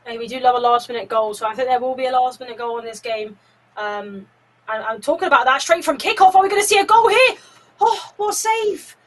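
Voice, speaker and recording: A woman co-commentates in a chatty, upbeat way, adding analysis between plays. Her voice sits fairly high, and she sounds a little distant from the microphone. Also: steady tone, fairly quick. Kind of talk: color commentary.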